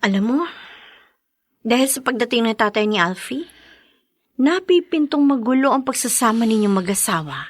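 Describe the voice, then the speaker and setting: A candid Filipino friend in a radio drama. Her voice is fairly high and very animated in pitch, with a dry, close sound. She speaks as a cautioning confidante. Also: moderate pace, long pauses, no room sound. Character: candid female friend, warning and meddling. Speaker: drama actor.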